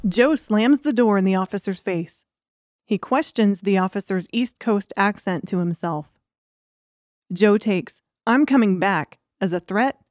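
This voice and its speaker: A woman's voice: mid-range voice, darkish tone, dry recording, clean studio sound. A woman reading scripted story narration as an audiobook narrator.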